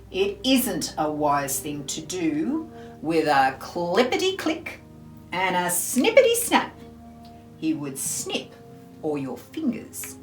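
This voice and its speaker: A woman reads a picture book to young children in a lowish voice. She is theatrical and deliberate, with lively intonation, in an echoey room. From Australia. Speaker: storyteller, school librarian. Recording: roomy echo, faint music behind.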